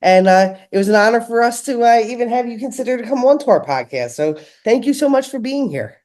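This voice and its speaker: A woman's voice: fairly high pitch, lively intonation, a little fast, a little mellow. A woman hosting a podcast, chatting in a bubbly, playful way and bantering with her co-host. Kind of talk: casual chat.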